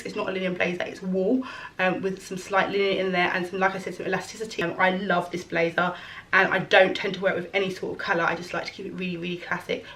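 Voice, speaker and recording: A woman in her thirties talks through her wardrobe in a loose, rambling way, often stopping to correct herself. Her voice is going a little hoarse. She sits a little back from the mic. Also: medium pitch, a bit bright, far from the mic, low-quality recording. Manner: chatty, rambling, self-correcting. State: losing her voice. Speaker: fashion vlogger. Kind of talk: casual fashion try-on chat.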